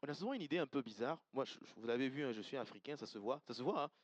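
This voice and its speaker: A man's voice: medium pitch, animated, racing, no room sound, highs cut off. A man from Côte d'Ivoire, preaching an earnest, emphatic sermon to his congregation and repeating key lines. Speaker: pastor. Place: church service.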